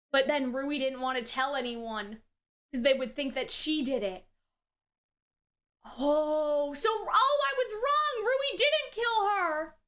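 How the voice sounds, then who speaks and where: A woman hosting a reaction video, commenting casually in an animated, exclamatory, slangy way. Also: sits high.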